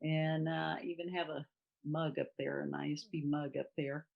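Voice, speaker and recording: A woman's voice: low voice, flat delivery, moderate pace, creaky, phone-quality sound. A woman who grew up in Thailand, a guest author chatting casually in an interview and laughing as she tells anecdotes.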